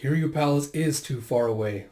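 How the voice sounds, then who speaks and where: A man voicing a calm, immortal dragon protector in human form, solemn and reassuring, in a comic story. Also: mid-range voice, moderate pace, close to an everyday voice.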